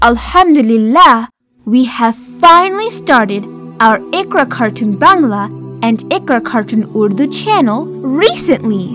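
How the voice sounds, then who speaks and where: A woman's voice: fairly high pitch, big pitch swings, extremely slow, pushed and bright. A woman reading a scripted promotional appeal as the announcer for a cartoon channel. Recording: music behind the voice.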